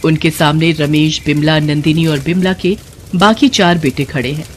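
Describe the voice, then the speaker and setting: A woman's voice: deep voice, fairly even intonation, moderate pace, slight background noise. A woman narrating a scripted Hindi moral story as its storyteller.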